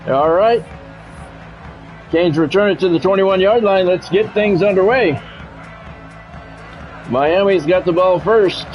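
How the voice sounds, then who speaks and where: A man's voice: sits high, slow pace, pauses often, highs cut off, soft background music. A middle-aged man doing live sports game commentary like a radio sportscaster, chatting with viewers and repeating phrases for emphasis. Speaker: game streamer and play-by-play announcer.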